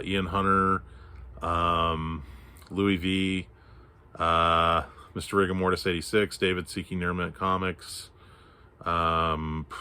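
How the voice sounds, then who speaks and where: A low-pitched man from Texas talks through his comic pickups in an easy, rambling way. His throat is scratchy from allergies, and he stops and restarts often as he goes. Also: bright, forceful tone, clean tone. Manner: rambling, many fillers and restarts. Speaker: comic haul vlogger. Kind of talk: casual show-and-tell chat.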